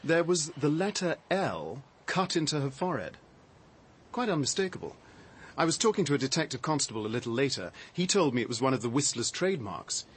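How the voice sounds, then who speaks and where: An actor playing a shaken, defensive man with a halting, reflective, dry delivery in a radio drama. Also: medium pitch, very animated, husky. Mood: cool, grim. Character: shaken man recounting his discovery, defensive, sardonic.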